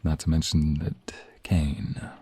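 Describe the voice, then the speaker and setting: Low, husky, smitten mobster husband acted by a man. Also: level tone, mellow, no room sound, exaggerated voice. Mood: warm, reflective. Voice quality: breathy, husky. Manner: intimate, drawn-out, playful delivery with sighs and murmurs. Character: affectionate, teasing young father and mobster husband, smitten romantic. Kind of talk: ASMR romantic roleplay acting.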